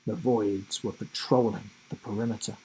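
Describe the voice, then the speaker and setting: A man reading a children's story aloud as narrator, in an expressive, dramatic way. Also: fairly low pitch, moderate pace, slight background noise, poor audio.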